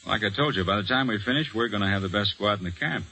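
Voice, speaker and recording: Forceful, earnest young Army corporal. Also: male voice, on the low side, quick pace. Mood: earnest, insistent. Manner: plain-spoken, earnest, folksy. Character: earnest, determined young Army corporal telling his story. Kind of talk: old-time radio drama acting. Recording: noisy old radio broadcast recording.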